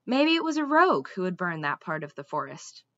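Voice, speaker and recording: Bright, animated young woman reading aloud. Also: fairly low pitch, a little fast, no echo, muffled recording. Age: young adult. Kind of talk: expressive children's storybook narration. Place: small room indoors at home.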